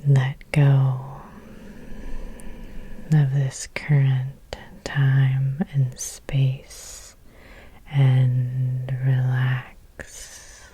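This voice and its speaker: Slow, breathy whispering woman. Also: rumbling low, monotone. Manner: gentle, soothing, unhurried with long pauses. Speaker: hypnotherapist and ASMR host. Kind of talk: whispered ASMR guided hypnosis meditation. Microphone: close microphone.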